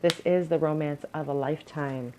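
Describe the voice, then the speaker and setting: A woman's voice: low voice, steady tone, moderate pace, a little mellow. A woman with a crackly voice giving an earnest pick-a-card tarot reading at home, advising with frequent ums and restarts. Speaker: tarot reader.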